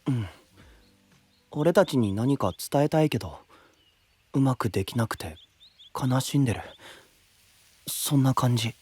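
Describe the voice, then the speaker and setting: Gentle boyish knight, lively pitch. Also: a little slow, long pauses, slightly rough. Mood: wistful. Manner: soft, hesitant, trailing off. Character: gentle, earnest boyish young knight, sensitive. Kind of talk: Japanese anime-style voice drama acting.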